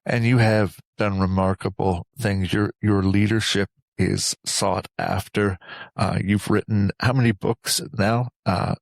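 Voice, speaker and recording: A man hosting a reflective interview, speaking earnestly and deliberately in short phrases with pauses between them. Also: on the low side, fairly even intonation, vocal fry, dry recording. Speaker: interview host.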